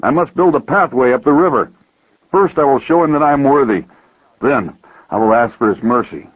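A man's voice: medium pitch, lively intonation, normal speed, no echo, muffled recording, voice pushed up, lightly acted. A man voicing a proud, self-righteous younger brother in a dramatized parable, with an emphatic, declaiming manner. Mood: determined.

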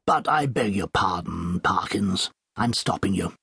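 A man's voice: low voice, expressive, a little slow, gravelly, a bit bright, husky, no room sound, clean studio sound, full character voice. A man voicing a jovial, mischievous, bantering friend in an audiobook. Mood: mock apologetic. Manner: bantering, breezy. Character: jovial, teasing male friend, breezy and mischievous. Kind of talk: audiobook character dialogue.

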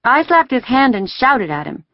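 A narrator voicing a stubborn, boastful five-year-old girl who chatters loudly and self-importantly in a storybook reading. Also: mid-range voice, sing-song, normal speed, dry recording, muffled recording, pitched above the performer's own voice.

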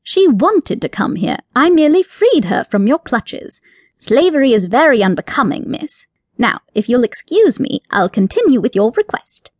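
A woman voicing a prim, fussy male rabbit with a formal, haughty manner, pitched well above her own voice. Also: sing-song, normal speed, dry recording, clean studio sound, exaggerated voice. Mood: indignant.

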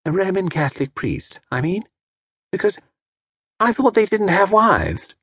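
A man reading aloud, voicing a vague, puzzled young woman with soft questioning lines. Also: high voice, very animated, measured pace, breathy edge, voice pushed up, exaggerated voice.

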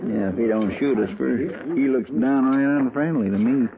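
An actor playing a folksy, wary frontier deputy sidekick in a 1950s radio western, with twangy country talk. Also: male voice, medium pitch, normal speed, creaky, close to an everyday voice. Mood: folksy, uneasy. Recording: muffled old radio, background crowd sound.

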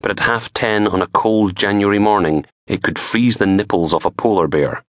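A middle-aged man reading a crime novel aloud in a dramatic, measured storytelling manner. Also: on the low side, no room sound, clean studio sound. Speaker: author reading own audiobook. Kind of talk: scripted crime fiction narration.

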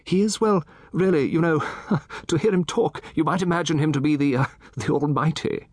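A man voicing a gossipy, genteel elderly English gentleman, raised in pitch, chatty and lightly amused. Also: normal speed, breathy edge, dry recording, clean studio sound. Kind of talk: audiobook character voice.

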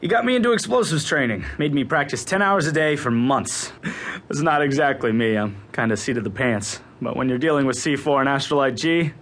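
An actor playing a cocky, easygoing bomb-disposal expert in an audio drama, wisecracking and excitable with a breezy manner. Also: male voice, medium pitch, brisk. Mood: relaxed, wry.

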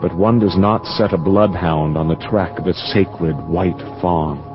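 Rapturous young male dreamer, low voice, poetic and intense, radio play narration, dull recording. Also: steady tone, measured pace. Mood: brooding, yearning. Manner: ornate, intense, poetic delivery. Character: privileged, rapturous young male dreamer recounting his story. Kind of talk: dramatised first-person radio narration. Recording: dull lo-fi audio under loud music.

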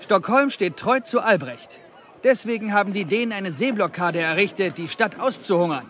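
An actor playing a shrewd, courteous, genteel merchant who recruits men in an audio drama. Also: male voice, high voice, animated, moderate pace, a bit bright, a little hiss or hum. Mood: smooth, insinuating. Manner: courteous, persuasive, self-assured. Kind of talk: German audio drama acting.

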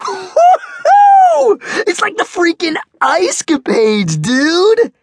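A man voicing a huge, rowdy teenage boy who talks loud and jokes. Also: high voice, very animated, takes it slowly, background noise, pitched above the performer's own voice, heavily acted. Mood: joyful shouting. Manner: loud, blasting, joking.

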